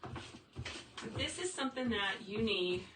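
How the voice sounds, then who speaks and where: Brief: upbeat, chatty woman craft teacher, mid pitch, mic set off from her in a reverberant workshop. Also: expressive, slow pace. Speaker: wreath maker teaching a craft. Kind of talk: casual livestream tutorial reading viewer comments. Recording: roomy, distant sound with faint room noise.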